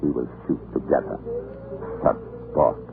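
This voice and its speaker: An actor playing a cruel, gloating and pompous governor of Tsarist Russia, hot-tempered and impatient, in an old American radio drama. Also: male voice, measured pace, lightly acted. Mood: gleeful cruelty. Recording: tinny, noisy old radio broadcast.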